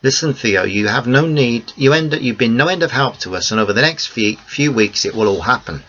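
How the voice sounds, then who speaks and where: A man's voice: medium pitch, expressive, brisk, fairly bright tone, a little hiss or hum, close to an everyday voice. A man reading the part of a determined young woman, speaking firmly and earnestly to friends and family. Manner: firm, earnest pleading. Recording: dull lo-fi recording.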